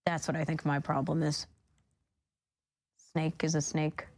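A woman's voice: sits low, level tone, measured pace, darkish tone. A woman co-hosting a talk show in casual, chatty style with frequent asides. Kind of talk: casual on-air chat.

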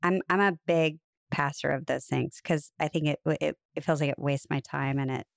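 A woman with a low, smooth, clear voice co-hosts a podcast in casual chat. She is chatty and self-deprecating, recorded close and dry. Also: steady tone, normal speed, no echo. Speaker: podcast co-host, actress.

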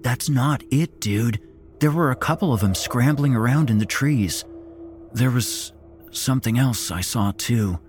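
A man voicing a terrified young man who stammers and shouts in panic. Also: mid-range voice, unhurried, faint music behind, voice pushed up, close to an everyday voice.

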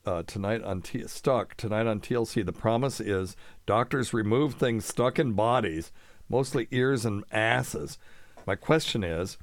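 A man's voice: medium pitch, no echo. A man hosting a casual live show, answering listener questions in a folksy, rambling way and explaining medical terms plainly.

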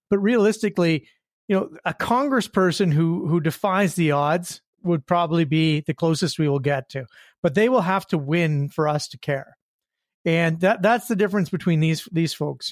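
A man with a somewhat high voice argues on a political panel, blunt and sure of himself, hammering the same verdict again and again. The recording is close and dry. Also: moderate pace, no room sound. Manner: blunt, emphatic, repeats his verdicts. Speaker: co-host.

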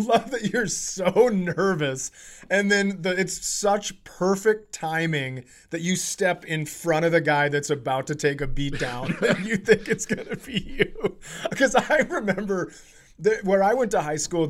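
Male comedian host, forties, American, fairly high voice, sweary casual riffing. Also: animated, unhurried. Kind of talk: casual comic chat.

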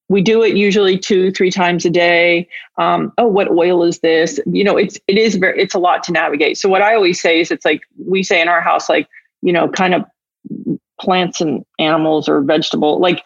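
A woman's voice: lowish voice, dry recording, muffled recording. A woman hosting an interview in a casual, informal way, with meandering phrasing. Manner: informal, meandering, acts out imagined dialogue. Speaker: interviewer, podcast host.

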